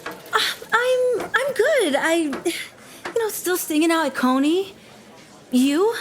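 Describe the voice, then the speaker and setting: A woman playing a sweet, bashful young singer in a musical theatre scene, halting and coy. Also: very high-pitched, sing-song, a bit bright, slight background noise, exaggerated voice.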